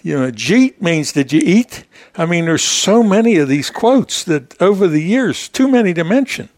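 A man's voice: high voice, very animated, moderate pace, darkish tone, no room sound, clean studio sound. An American man hosting a casual motorsport radio interview, chatting and explaining things for his listeners. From Pittsburgh area, United States. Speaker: radio show host.

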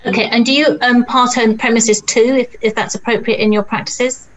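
A woman moderating a panel discussion, asking brisk questions. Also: on the high side, fairly even intonation, poor audio.

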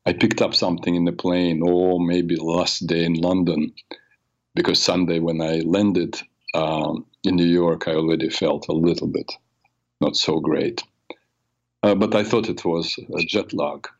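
Raspy older man with a cold. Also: low voice, little pitch movement, unhurried, muffled recording. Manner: warm, rambling, personal storytelling with many fillers. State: has a cold. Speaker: radio show host. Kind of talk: solo talk show monologue.

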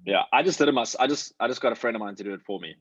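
A man chatting casually as an actor giving tips, rambling and halting as he thinks aloud. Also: medium pitch, fairly bright tone, slightly rough, no room sound, highs cut off. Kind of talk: casual chat.

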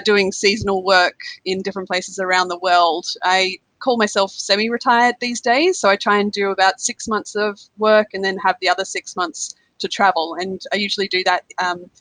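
A woman's voice: medium pitch, steady tone, moderate pace, a bit bright, no room sound, poor audio. An Australian woman chatting as a guest on a podcast interview over a remote call, laughing lightly between phrases. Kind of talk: casual conversational interview.

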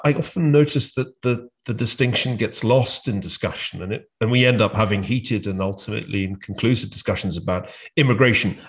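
A British man hosting a discussion, speaking in a measured way with frequent hesitations and restarts. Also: lowish voice. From United Kingdom. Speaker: podcast host. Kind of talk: conversational discussion.

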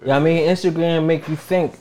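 Man in his thirties, podcast host, slightly high voice, relaxed slangy chat. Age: 30s. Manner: laid-back, slangy, asks guests quick this-or-that questions. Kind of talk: casual hip-hop podcast chat.

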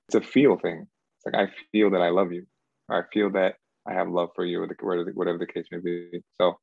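A very deep, gravelly male voice from a man in his thirties who was born in Cameroon. He thinks aloud as an interview guest, hesitating and circling back. The sound is dry and a little dull. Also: darkish tone, dry recording. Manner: searching, hesitant, repeats himself and says "like" often. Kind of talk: reflective conversational interview.

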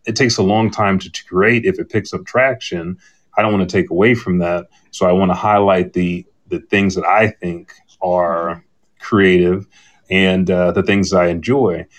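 A man's voice: low-pitched, steady tone, moderate pace. A man co-hosting a podcast, chatting casually and candidly in a rambling way, often retelling conversations as dialogue. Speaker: podcast host. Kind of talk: casual chat on a live podcast panel.

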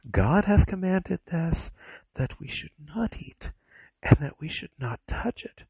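Man putting on a high-pitched timid woman. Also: gravelly, slightly rough, no room sound, exaggerated voice, poor audio. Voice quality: high, soft falsetto. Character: timid, innocent first woman in paradise.

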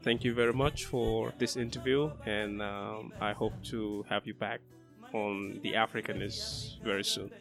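Man, podcast host, gravelly voice, measured polite delivery, faint music behind. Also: fairly low pitch, level tone. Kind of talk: interview.

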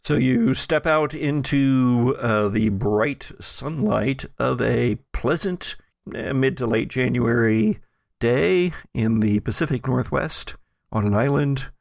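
A man's voice: mid-range voice, slow, gravelly, no echo, studio quality. A man running a tabletop roleplaying game, narrating scenes casually in a conversational, chuckling way. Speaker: game master.

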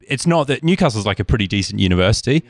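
Rp english guy, low voice, dry close mic, jokey and full of ums. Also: sing-song, racing, dry recording. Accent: English received pronunciation. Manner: wry, joking, hesitant with ums. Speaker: podcast co-host. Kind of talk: casual chat.

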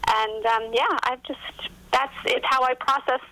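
Bright, higher-pitched woman guest on a call. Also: fairly even intonation, a little slow, rough recording. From West Virginia, United States. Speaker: guest, novelist. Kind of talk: casual interview chat.

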